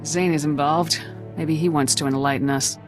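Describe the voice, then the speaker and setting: A woman's voice: sits low, fairly even intonation, moderate pace, over music, close to an everyday voice. An actress playing a confident female military commander in a video game, direct and assured. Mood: stern.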